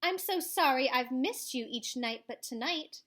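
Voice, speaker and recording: A woman voicing an excitable, imaginative child in a storybook, calling out exuberantly. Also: high voice, a little slow, exaggerated voice. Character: excitable, imaginative child narrator shouting goodnights on adventure.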